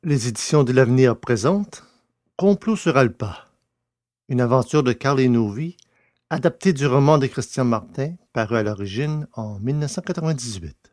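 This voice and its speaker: A man hosting a podcast and narrating a story in a scripted, deliberate manner. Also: mid-range voice, expressive, darkish tone.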